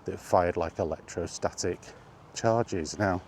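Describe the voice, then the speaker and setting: A man chatting casually on his own, enthusiastic but halting, with frequent ums and restarts. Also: low voice, a little mellow, slight background noise. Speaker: vlogger. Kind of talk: casual rambling monologue. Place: outdoors, walking.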